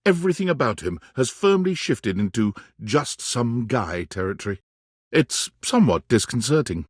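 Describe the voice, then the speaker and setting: A male game narrator with a medium-pitched voice tells the story in a theatrical, wry way. His delivery swings widely in pitch and leaves dramatic pauses. The sound is close and dry. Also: no room sound. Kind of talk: scripted second-person narration.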